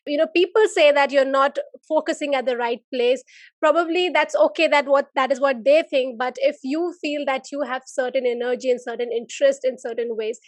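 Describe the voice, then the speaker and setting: A woman's voice: sits high, dry recording. A woman hosting a podcast, asking long, winding questions and reflecting on the guest's answers for her listeners. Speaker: podcast host.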